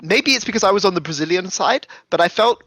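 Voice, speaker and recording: Guy on the higher side telling old coaching stories in an interview, keeps restarting phrases, really dry close sound. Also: lively intonation, fast talker, bright, forceful tone, dry recording. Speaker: esports coach being interviewed. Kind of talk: conversational interview reminiscence.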